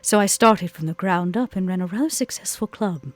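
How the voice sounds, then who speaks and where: A woman's voice: on the low side, fairly quick, no room sound, natural voice. A woman voicing a cool, guarded female nightclub businesswoman in a tabletop roleplay, speaking in a formal, curt and pointed way. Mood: composed, formal. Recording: music playing behind the voice.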